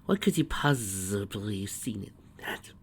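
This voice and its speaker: A menacing shapeshifting insect queen from a storybook, put on by a man. The delivery is slow and breathy, with a mocking, taunting edge. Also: fairly low pitch, big pitch swings, full character voice. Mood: mocking menace.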